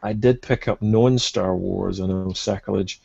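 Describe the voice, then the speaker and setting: A man's voice: deep voice, fairly even intonation, unhurried, gravelly, dark tone, slightly rough, no echo. A man co-hosting a collecting podcast in casual chat, agreeable and enthusiastic about details. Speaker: co-host of a toy collecting podcast. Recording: dull, muffled lo-fi audio.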